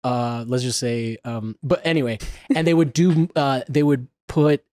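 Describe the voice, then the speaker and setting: A man's voice: medium pitch, measured pace, warm tone, dry recording, clean studio sound. A man whose first language is Spanish, hosting a casual interview and thinking aloud with many restarts and self-corrections. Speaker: podcast host.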